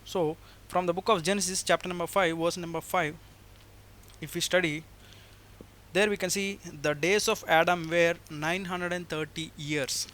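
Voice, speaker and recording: A man's voice: sits high, steady tone, moderate pace, a bit bright. A man in his twenties with an Indian accent, explaining scripture in a formal, didactic way and repeating key phrases. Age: 20s. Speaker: religious teacher answering criticism. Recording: faint background noise.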